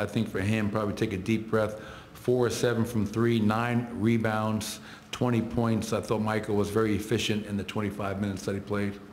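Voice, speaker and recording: A middle-aged man speaks as a head coach at a post-game press conference. His voice sits low and barely moves in pitch as he works through numbers in short bursts. The table microphones pick him up in a roomy, echoing press room with a faint hum behind. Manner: measured, reels off stats in clipped phrases.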